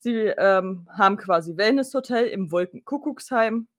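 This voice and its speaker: A woman's voice: medium pitch, animated, normal speed, dry recording, studio quality. A woman co-hosting a podcast, giving a casual recap and chatting informally. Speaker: podcast co-host.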